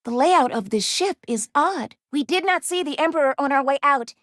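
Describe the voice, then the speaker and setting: A woman's voice: high voice, animated, normal speed, fairly bright tone, dry recording, studio quality. An actress voicing a timid, earnest, bookish young female robot in a video game, with polite, formal and precise diction. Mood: curious, matter-of-fact. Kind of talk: video game character voice lines.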